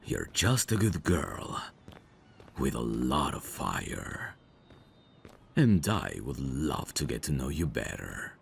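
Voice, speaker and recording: Man playing very deep, teasing mafia boss. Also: big pitch swings, vocal fry, slight background noise, heavily acted. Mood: flirtatious, teasing. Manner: teasing, unhurried, self-assured. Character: dangerous, teasing male mafia boss, smugly protective and flirtatious. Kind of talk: ASMR roleplay audio drama.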